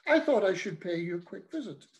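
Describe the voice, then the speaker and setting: A man's voice: high-pitched, very animated, fairly quick, noisy background. A man doing a breezy, chatty priest. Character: cheery, pushy middle-aged male parish priest.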